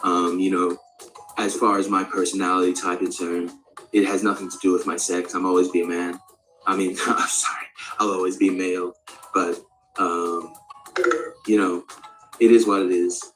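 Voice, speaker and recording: A male speaker of around forty from Australia, practising an American accent in a rambling, halting, candid and sweary casual monologue. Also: deep voice, little pitch movement, a bit bright, faint music behind, rough recording. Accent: American, put on over native Australian. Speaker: actor introducing themself.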